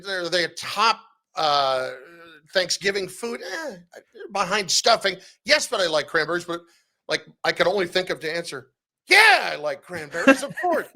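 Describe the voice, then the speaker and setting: A man speaking as a guest in a casual sports podcast interview, telling stories in an animated, conversational way. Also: sits high, normal speed. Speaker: interview guest, sports radio play-by-play announcer.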